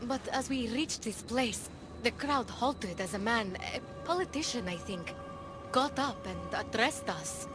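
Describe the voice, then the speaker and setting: A woman's voice: a little high, expressive, a little slow, over music. An actress playing a puzzled dead woman in a video game, recalling things in a hesitant, searching way. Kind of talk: video game dialogue acting.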